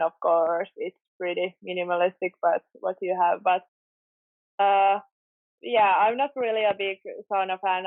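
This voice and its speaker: A young Finnish woman, a guest on a podcast, speaking English slowly and hesitantly with a Finnish accent and frequent pauses. Her audio is thin and tinny like a phone line, and dry with no room sound. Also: mid-range voice, no echo. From Finland. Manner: slow, hesitant, many uh fillers. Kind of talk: casual interview chat.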